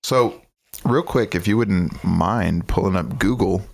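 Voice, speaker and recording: A man co-hosting a podcast in casual chat, energetic and rambling, speeding up when he makes a point. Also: low voice, measured pace, vocal fry. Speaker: podcast co-host.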